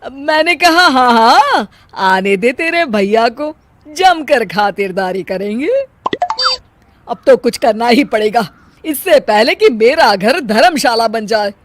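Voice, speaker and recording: A woman's voice: a little high, lively intonation, slow pace, dry recording, voice pushed up. A woman voicing a scheming, grumbling middle-aged mother-in-law in a story, with sarcastic, muttering asides.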